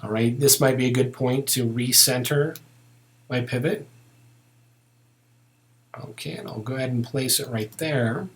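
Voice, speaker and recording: Guy doing a screen tutorial, normal mid voice, casual, kinda thinking out loud as he goes. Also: medium pitch, measured pace, pauses often. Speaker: software tutorial instructor. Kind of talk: narrated step-by-step screen tutorial, unscripted.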